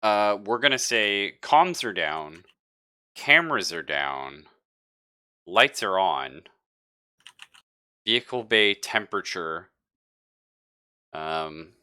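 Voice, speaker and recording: A man with a fairly low, forward, bright voice runs a tabletop game. He talks casually as game master and is quick to joke and laugh. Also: sing-song, clear, resonant tone. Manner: jokey, laughs often.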